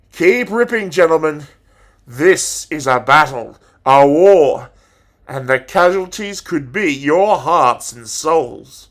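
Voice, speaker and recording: A man playing a passionate, theatrical English teacher in a dramatic monologue, declaiming deliberately with pauses. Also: on the high side. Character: passionate, theatrical male English teacher rousing his pupils.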